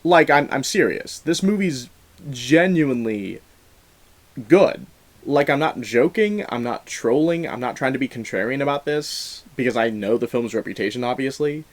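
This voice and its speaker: A man's voice: medium pitch, expressive, moderate pace, few pauses. A man giving a casual, opinionated film review, leaning emphatically on key words in an earnest way. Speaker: film review show host.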